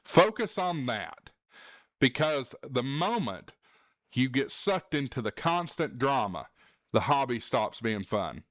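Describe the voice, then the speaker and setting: A man's voice: medium pitch, very animated, slow, fairly bright tone, no echo. Earnest man with a dry, close sound. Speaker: YouTube channel host. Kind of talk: candid advice vlog to viewers.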